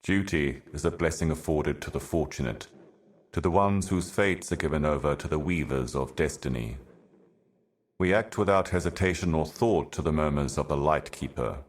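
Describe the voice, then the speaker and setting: A man voicing an ancient, otherworldly envoy in a video game, delivering cryptic monologues in a solemn, measured, portentous manner. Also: very deep voice. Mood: solemn, ominous. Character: ancient cosmic envoy, solemn otherworldly prophet serving higher powers.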